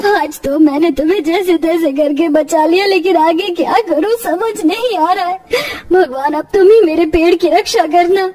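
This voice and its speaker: A woman's voice: very high voice, normal speed, dark tone. A woman playing a poor, devoted young mother in a cartoon story, plaintive and emotional. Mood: tearful pleading. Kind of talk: dramatised children's cartoon story. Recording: distant-sounding, music underneath.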